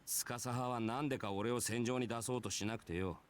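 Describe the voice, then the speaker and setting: An actor playing a brash, easygoing male warrior in a visual novel, telling his past in rough, casual, nonchalant speech. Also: mid-range voice, fairly even intonation, very fast, close to an everyday voice. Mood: casual, boastful. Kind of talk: Japanese visual novel voice acting.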